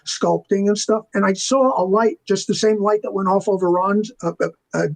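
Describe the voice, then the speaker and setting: High-voiced older man telling stories. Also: fairly even intonation, normal speed, creaky. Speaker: interview guest, veteran visual effects artist. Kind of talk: casual anecdotal storytelling in an interview.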